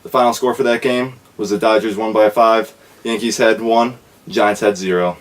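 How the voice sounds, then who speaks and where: A man reads the sports segment of a casual student skit newscast. His voice sits somewhat low, and his delivery is plain, with small restarts. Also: fairly even intonation, normal speed, slight background noise. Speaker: mock sports reporter.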